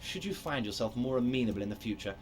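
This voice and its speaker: An actor playing a huge, smug union boss in a video game, speaking with formal, deliberate false courtesy. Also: male voice, mid-range voice, animated, slight background noise, lightly acted. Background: loud music.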